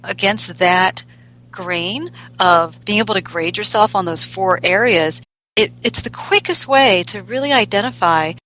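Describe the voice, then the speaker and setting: A woman's voice: medium pitch, a little slow, a bit bright, a little hiss or hum. A middle-aged woman raised in the United States, answering conversationally as a business coach being interviewed. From United States, born in South Korea and immigrated at four. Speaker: business coach, podcast guest.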